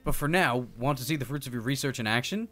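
A man's voice: medium pitch, fast, sharp tone, faint music behind, lightly acted. A man voicing an upbeat, eager professor from a video game, reading the dialogue in a declamatory way. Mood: bright, theatrical.

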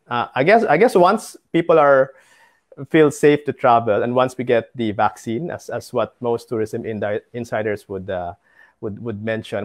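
A middle-aged man with a Filipino accent speaks as a guest in an online interview. His voice sits at an average pitch, and he phrases his answers hesitantly, as heard over a video call. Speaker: tour guide and business founder, interview guest. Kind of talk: webinar interview. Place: home study with bookshelves.

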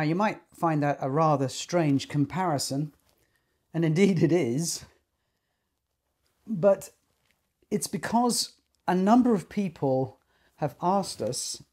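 A man in his sixties giving an informal explanatory talk to camera, speaking in measured, deliberate phrases with pauses. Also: a little high, a little mellow. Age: 60s. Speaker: loudspeaker designer and company technical director. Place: small attic listening room indoors.

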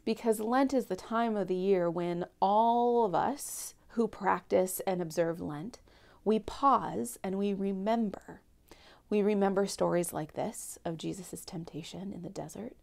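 A young woman giving a gentle Lent reflection at home and reading a picture book aloud, speaking softly and often near a whisper into a lapel mic. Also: mid-range voice, measured pace, creaky. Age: young adult. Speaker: church speaker. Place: home living room, indoors.

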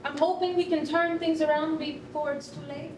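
A woman's voice: steady tone, moderate pace, a little mellow, lightly acted. A woman playing a friendly, patient, upbeat school counselor in a staged reading. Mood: concerned. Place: concrete rehearsal hall, live staged reading. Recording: roomy, distant mic, noisy rumble.

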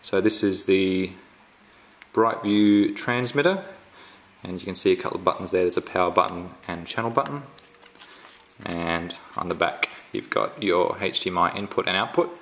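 Low-voiced Australian man unboxing gadgets. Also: a bit bright. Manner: halting, many ums and restarts. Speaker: tech reviewer. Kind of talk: casual unboxing walkthrough. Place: indoors at home, filming the box up close.

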